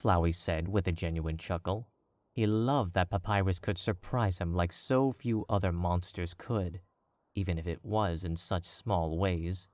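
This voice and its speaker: Deep-voiced male story narrator. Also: dry recording. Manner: measured, even, storytelling. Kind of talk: scripted audiobook narration.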